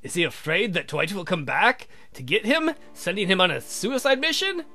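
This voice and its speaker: A man voicing a sardonic, theatrical vampire count for a visual novel game, mocking and campy in a drawn-out way. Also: medium pitch, animated, pushed and bright, faint music behind. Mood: taunting.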